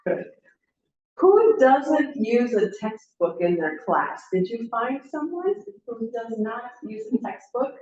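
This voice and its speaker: Higher-voiced woman leading a training. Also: very animated, slow pace, a little mellow. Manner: friendly, encouraging, asks the group questions. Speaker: language teacher trainer. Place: classroom. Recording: roomy, distant, slightly dull.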